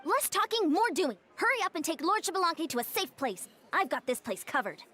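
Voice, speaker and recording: An extremely high, wildly swooping squeaky little loudmouth, straight out of a video game. The delivery is brash, boastful and bossy, with a bright edge. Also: female voice, very animated, fairly quick, exaggerated voice. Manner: boastful, exclamatory.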